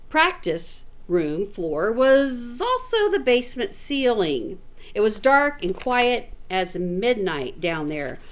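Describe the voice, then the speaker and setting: A middle-aged woman, a teacher, reading a picture book to children with chatty asides. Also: a little high. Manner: animated, chatty, explains words to children.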